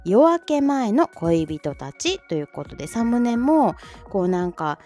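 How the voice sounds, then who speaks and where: Female livestream host. Chatty, giggly announcements with highly varied pitch over a faint music bed. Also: sing-song, measured pace. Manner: bubbly, giggly, reacts to listener comments. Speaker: host of a short audio livestream, amateur voice-drama club member. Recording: soft music bed under voice. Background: soft background music.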